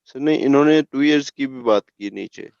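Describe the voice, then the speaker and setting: Muffled, smooth-voiced Pakistani male student. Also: mid-range voice, normal speed, darkish tone, dry recording. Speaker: law student. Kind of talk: classroom questioning.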